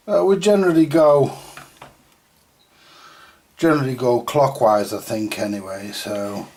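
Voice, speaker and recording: A man giving a casual, halting, mildly sweary thinking-aloud commentary as he does hands-on repair work, often muttering to himself. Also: fairly low pitch, a little mellow, slight background noise. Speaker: repairer presenting a hands-on video. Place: indoor repair workbench.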